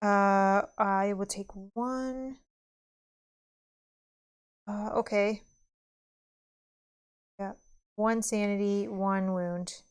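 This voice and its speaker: A woman's voice: medium pitch, fairly even intonation, drawn-out words, long pauses, clean tone. A woman chatting casually as she plays a board game on a live stream. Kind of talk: casual live playthrough chat.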